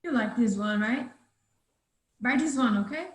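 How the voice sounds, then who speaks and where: A woman's voice: fairly high pitch, unhurried, reverberant, lightly acted. A woman playing an aggressive, wheedling salesman of about thirty in a script table read. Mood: pushy, wheedling. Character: pushy thirty-year-old male shop seller, aggressive and wheedling.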